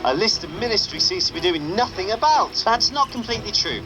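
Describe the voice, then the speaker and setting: An actor playing a secret radio host who reads the news with dry sarcasm. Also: male voice, high-pitched, animated, brisk, low-quality recording. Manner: wry, sarcastic newsreader. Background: game music.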